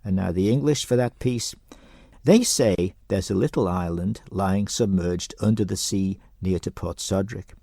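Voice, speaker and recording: Male radio reader, deliberate bilingual storyteller, low gravelly warm tone. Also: no room sound, clean studio sound. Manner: slow deliberate reading aloud. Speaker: radio presenter reading a story.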